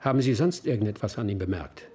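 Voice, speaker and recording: An actor in a radio crime drama playing a patient middle-aged detective, questioning politely and methodically in a husky voice. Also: male voice, fairly low pitch, lively intonation, quick pace, dark tone.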